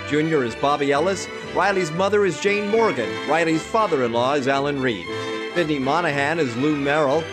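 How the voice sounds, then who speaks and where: A man performs an upbeat old-time radio announcer with a fairly high voice that swings through the lines. His delivery is scripted, smooth sponsor patter from a 1950s broadcast, with music playing behind him. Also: lightly acted.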